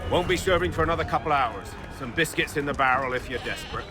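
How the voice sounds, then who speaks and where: Actor as a gruff, mid-pitched ship's cook. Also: male voice, fast, lightly acted. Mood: offhand, grumbling. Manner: colloquial, matter-of-fact. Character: gruff, offhand ship's cook, grumbling but helpful. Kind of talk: video game voice acting.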